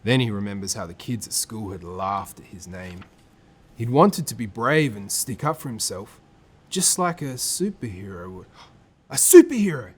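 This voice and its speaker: A man with an Australian accent presenting and reading a children's story, with expressive phrasing aimed at young listeners. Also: fairly low pitch, normal speed, slightly rough.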